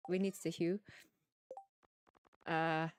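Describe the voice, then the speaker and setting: A woman streamer with a German accent, playfully chatting to viewers in simple, halting English while she plays a farming game. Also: fairly low pitch, little pitch movement, smooth, no echo.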